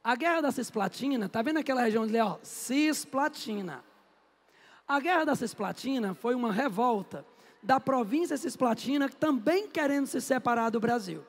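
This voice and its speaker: A man's voice: extremely high pitch, lively intonation, normal speed. A middle-aged man from Northeast Brazil, giving a chatty, joking history lecture and answering his own questions. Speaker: history teacher. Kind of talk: lively classroom lecture. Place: classroom. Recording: echoey classroom with long reverb.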